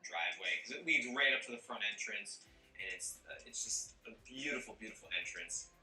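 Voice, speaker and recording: A groom talks casually in an interview. The man has a low-pitched voice with a very sharp, bright edge. It comes across distant and echoey, like played-back television. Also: fairly quick. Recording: echoey, distant television playback with faint music.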